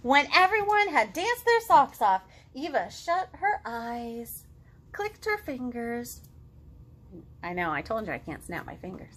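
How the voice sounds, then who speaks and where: A woman in her thirties hosting a children's storytime, reading and chatting to young kids in an expressive, sing-song, exaggerated way. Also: a little high, a little slow. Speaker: children's librarian, storytime host. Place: living room at home, indoors.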